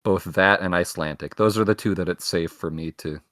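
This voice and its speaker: Low-voiced man with a dry, close sound. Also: a little fast, fairly bright tone, no room sound. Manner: rambling, self-mocking jokes. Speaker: podcast co-host. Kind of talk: casual chat.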